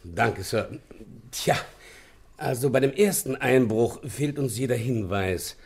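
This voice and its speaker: A man's voice: medium pitch, slow pace, vocal fry, darkish tone, slightly rough, exaggerated voice. A man playing a polite, businesslike police inspector in a German radio play, deferential and matter-of-fact as he reports.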